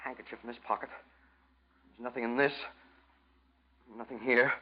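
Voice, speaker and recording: An actor playing a weak, guilt-stricken husband in a 1940s radio noir, stammering, hesitant and agitated. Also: male voice, medium pitch, fairly even intonation, moderate pace, lightly acted. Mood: dazed, uneasy. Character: weak, panicky, guilt-stricken husband under his wife's thumb. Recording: muffled, noisy old radio broadcast.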